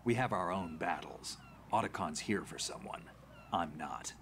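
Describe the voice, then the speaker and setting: Brief: a terse, world-weary game soldier, deep and gruff. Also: male voice, expressive, normal speed, fairly bright tone, slight background noise, heavily acted. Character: weary gravel-voiced veteran male soldier, terse.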